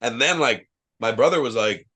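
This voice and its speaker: A young man from Ohio, a wrestler guesting on a podcast, telling loose, profane travel stories full of fillers, with a loud voice. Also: mid-range voice, animated, normal speed, slightly rough. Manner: says he has a loud voice. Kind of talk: casual podcast chat.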